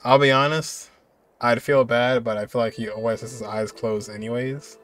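A man's voice: medium pitch, steady tone, moderate pace, a bit bright. A young American man giving casual reaction commentary on a game. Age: young adult. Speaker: streamer reacting to game cutscenes.

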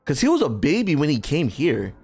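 A man's voice: fairly high pitch, wildly swooping pitch, brisk, gravelly, a little mellow, breathy edge. A young adult man giving casual, amused movie reaction commentary with plenty of slang and swearing, close on a microphone. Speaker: reaction show co-host. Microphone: close podcast microphone, headphones. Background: music and movie soundtrack.

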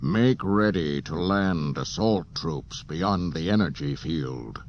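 A male narrator plays a menacing dark lord in a space-opera audiobook. He is deep, cold and imperious, giving slow, clipped orders. The cassette sound is dull. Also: animated, exaggerated voice. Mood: cold command. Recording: dull cassette transfer with hiss.

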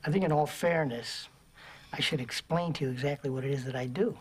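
A man's voice: on the high side, fairly quick, noisy background, lightly acted. A man playing a volatile, hot-tempered gangster who talks in profane threats, in film audio. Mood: menacing calm. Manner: profane, threatening, sudden explosive outbursts. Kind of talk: film drama acting.

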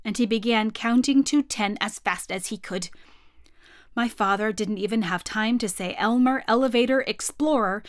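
A woman's voice: mid-range voice, moderate pace, dry recording, studio quality. A woman, narrating a children's book expressively. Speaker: storyteller. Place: small home room with bookshelves.

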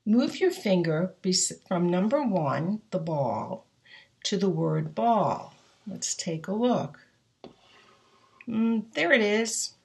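A woman teacher slowly walking children through a worksheet, patiently explaining each step. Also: mid-range voice, big pitch swings, gravelly, darkish tone, breathy edge. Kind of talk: step-by-step instruction.

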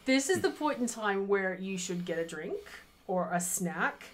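A woman's voice: mid-range voice, lively intonation, unhurried, a little hiss or hum. Chatty woman co-hosting a livestream. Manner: chatty, easily distracted, giggly. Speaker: charity stream co-host. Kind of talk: casual livestream chat. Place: home room with fairy lights behind. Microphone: headset.

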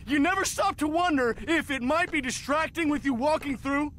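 A man's voice: extremely high pitch, normal speed, a little hiss or hum. An actor playing a furious, foul-mouthed man ranting at a colleague on a studio set in a video game. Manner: ranting, repeating himself, shouting. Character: raging, foul-mouthed TV film crew diva man.

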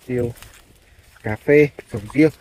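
A man's voice: mid-range voice, measured pace, a little mellow, low-quality recording. A man working as a real estate agent narrates a walk-through tour of farmland, politely addressing viewers, filmed on a handheld phone. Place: outdoors, walking through farmland. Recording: clear background noise, outdoor phone video.

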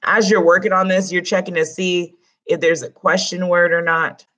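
A lively woman in her thirties teaches a Spanish class from a small room at home over a close webcam. Her Spanish words carry a Dominican accent, and she breaks off into playful, chatty asides to her students. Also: on the low side, normal speed. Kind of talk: online class lesson.

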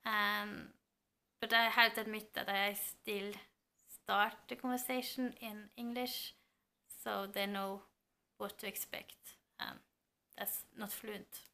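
A woman's voice: medium pitch, steady tone, slow pace, vocal fry, pauses often, pushed and bright. A woman in her thirties with a Norwegian accent, chatting to the camera at home about books, thoughtful and a little hesitant. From Norway. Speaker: book vlogger. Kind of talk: casual chat to camera. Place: home room lined with bookshelves. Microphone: camera at close range.

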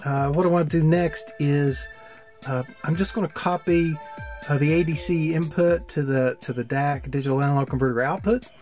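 A man's voice: a little high, steady tone, moderate pace, darkish tone, faint music behind. A man hosting a hands-on electronics tutorial, talking informally and thinking aloud as he works. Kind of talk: casual narrated build demo. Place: indoor electronics workbench.

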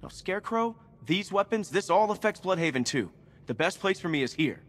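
An actor playing a cocky, loyal young crimefighter in a video game, wry and casual. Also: male voice, high voice, very animated, a little fast, a bit bright, heavily acted. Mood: cocky, insistent.

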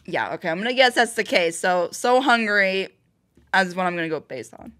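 A woman's voice: medium pitch, animated, moderate pace, fairly bright tone. A woman hosting a reaction video, thinking aloud in rambling, casual commentary with bursts of exclamation.

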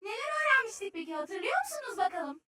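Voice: very high voice, sing-song, quick pace, heavy background noise. An actor voicing a cheerful, helpful cartoon teacher character who explains things in an encouraging way for children. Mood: cheerful, enthusiastic. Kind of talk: children's educational cartoon acting. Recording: roomy, distant sound with music behind.

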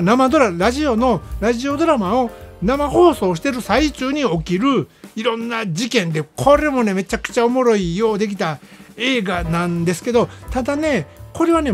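Give the voice of a Kansai-accented radio host talking through a film review. The delivery is casual and explanatory, peppered with little tag particles that check in with the listener. The pitch sits in a middle range, with music playing behind. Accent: Kansai Japanese. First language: Japanese. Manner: conversational, explanatory, frequent "ne" tags. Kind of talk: casual movie review monologue. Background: background music.

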